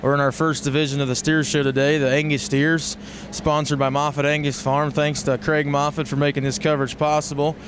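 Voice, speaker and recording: An American man hosting live livestock show commentary in a measured, matter-of-fact way. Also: on the high side, little pitch movement. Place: large indoor show arena. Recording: noisy livestock arena ambience.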